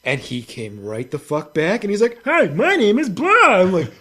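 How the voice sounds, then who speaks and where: Guy w kinda higher voice on a gaming podcast, swears a lot, ranty exasperated storytelling. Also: wildly swooping pitch, moderate pace, a bit bright, breathy edge. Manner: profane, exasperated ranting. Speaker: podcast co-host. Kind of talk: casual chat and storytelling.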